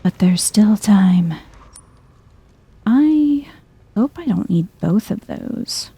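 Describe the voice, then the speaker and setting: Soft-spoken woman, very dark mellow tone, creaky. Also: mid-range voice, expressive, very slow, dry recording. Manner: hushed, chill, thinking aloud to herself. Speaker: video game streamer. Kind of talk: soft-spoken ASMR let's play commentary.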